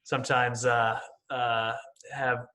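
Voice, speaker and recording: A man in his late thirties speaks as an interview guest with a bright, forward voice. His pitch is average for a man. He explains things conversationally, hedging and checking in as he goes. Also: little pitch movement, slow. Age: late 30s. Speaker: podcast guest, company CEO.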